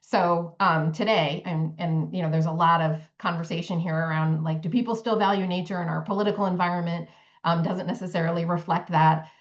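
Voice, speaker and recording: A woman's voice: fairly low pitch, fairly even intonation, a little fast. A woman answering conversationally as a panelist, heard over slightly dull video-call audio. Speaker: panelist working with forest landowners.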